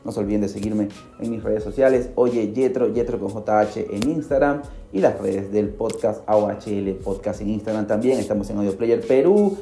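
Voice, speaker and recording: A man's voice: fairly low pitch, normal speed, few pauses, dark tone, roomy sound, soft background music. A Peruvian man hosting a podcast, narrating from a script with casual, conversational asides. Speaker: podcast host.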